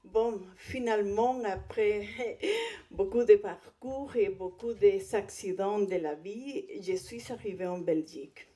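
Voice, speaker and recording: A woman from Chile with a Spanish accent, a native Spanish speaker, giving a conference presentation in a hesitant, halting way. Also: mid-range voice, warm tone. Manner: hesitant, halting delivery with non-native phrasing. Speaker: guest speaker, visual artist.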